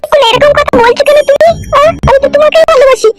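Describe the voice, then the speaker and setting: Woman voicing a very high-pitched, fast-talking village lady. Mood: coy. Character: flirty, sharp-tongued village woman, coarse and bossy. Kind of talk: comedy cartoon voice acting. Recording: loud music behind. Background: music.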